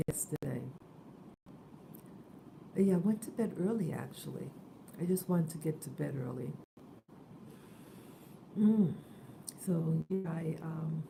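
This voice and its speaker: A woman just awake, hoarse and soft-spoken, talking hesitantly in a casual morning vlog. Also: sits low, background noise. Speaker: vlogger.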